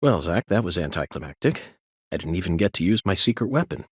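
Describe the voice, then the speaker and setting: An eccentric FBI agent from a video game, with an American accent. His delivery is formal and self-assured, with theatrical swings in pitch. The sound is close and dry. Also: animated, fast talker, slightly rough, no echo. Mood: composed, self-assured. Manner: formal, theatrical, musing aloud to an unseen companion.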